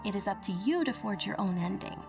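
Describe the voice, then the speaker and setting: A woman voicing a polite, earnest young noblewoman with gently formal speech in an anime-style video game. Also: mid-range voice, expressive, fairly quick, close to an everyday voice. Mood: gentle, earnest. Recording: game audio replayed, slightly distant, faint music.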